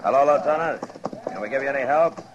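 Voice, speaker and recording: A man playing a calm, laconic frontier marshal in 1950s radio western style, speaking in a terse, understated way. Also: mid-range voice, quick pace, close to an everyday voice. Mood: concerned. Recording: dull, noisy old radio recording.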